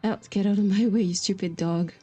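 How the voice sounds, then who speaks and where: Casting: female game streamer, soft mellow tone, musing and self-deprecating, casual chat mixed with read-aloud text, music behind. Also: medium pitch, steady tone, fairly quick, slightly rough. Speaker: game livestreamer.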